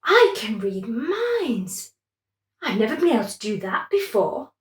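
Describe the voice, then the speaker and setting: A woman voicing a bossy, affectionate female prawn in a bedtime story, speaking in an expressive, urgent way. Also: on the high side, measured pace, roomy sound. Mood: amazed.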